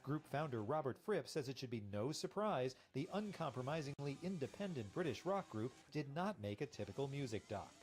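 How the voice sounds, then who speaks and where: A man reporting entertainment news in a scripted, expressive reporter's delivery. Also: medium pitch, brisk, hardly any pauses, faint music behind.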